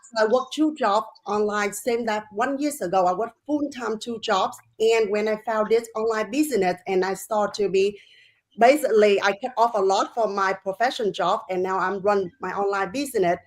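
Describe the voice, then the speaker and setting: A woman, slightly nervous, introducing herself in broken English on a video call. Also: mid-range voice. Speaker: nurse and first-time guest.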